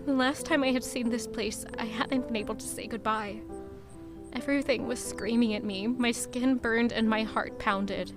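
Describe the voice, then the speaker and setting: A woman playing a quietly resolute young woman in an audio drama, narrating in a measured, reflective way. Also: a little high, steady tone, over music, natural voice. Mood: brooding, wistful. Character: guilt-ridden, quietly resolute young woman returning to her hometown. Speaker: narrator and lead character. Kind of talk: first-person scripted audio drama narration.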